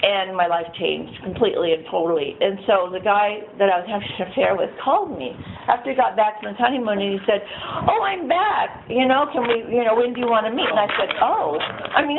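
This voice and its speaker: A shy woman with a soft voice telling her recovery story at a meeting, rambling and laughing often as she acts out what others said. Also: fairly high pitch. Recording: tinny phone-like, faint noise.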